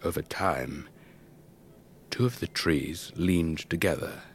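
An actor playing an elderly English retired air force officer, telling his story in a measured, wistful, old-fashioned way for a radio drama. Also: extremely low pitch, full character voice. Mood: wistful, reminiscing. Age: older. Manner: measured, old-fashioned, reflective, breathy trailing phrases. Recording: faint background noise.

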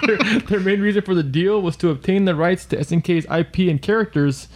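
Man, high voice, casual podcast co-host, rambling, laughs a lot. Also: fairly quick, darkish tone. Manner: rambling, laughs often, trails off. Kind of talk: casual chat about comics.

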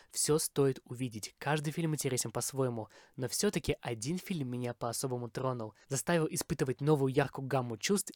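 Guy hosting a movie podcast, fast scripted review, opinionated, dry close sound. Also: medium pitch, dry recording. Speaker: podcast host, film reviewer.

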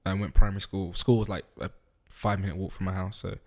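A man, a podcast guest talking through his childhood in an easygoing, unhurried way with frequent ums. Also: sits low, level tone, a little mellow. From East London, UK. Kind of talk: casual interview chat.

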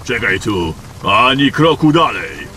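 A man playing a gruff, threatening caravan guard who barks curt orders in a fantasy audio drama. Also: mid-range voice, lively intonation, takes it slowly, bright, forceful tone, slight background noise. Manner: curt, barking.

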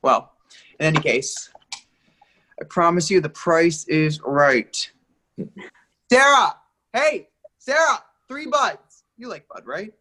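A man's voice: a little high, lively intonation, slow, long pauses, pushed and bright, full character voice. Casting: man to play brash, over-familiar male bar-goer for a play reading; teasing, pushy, chummy delivery.

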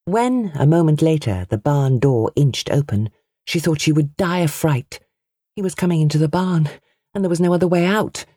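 A woman's voice: low voice, expressive, normal speed, warm tone, slightly rough, no echo, studio quality. A woman reading a novel aloud as an audiobook narrator, in a dramatic storytelling style.

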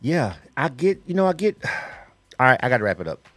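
A man's voice: mid-range voice, big pitch swings, brisk, a bit bright, no echo, soft background music. Middle-aged man from florida, radio host, rambling slangy chat, dry close sound. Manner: loose, slangy, rambling with frequent "you know what I'm saying". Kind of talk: casual radio interview chat.

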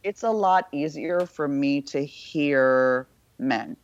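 A woman with a low voice, a podcast guest talking in a frank, irreverent, self-mocking way. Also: takes it slowly, dry recording. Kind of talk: candid casual conversation. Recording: dull lo-fi sound.

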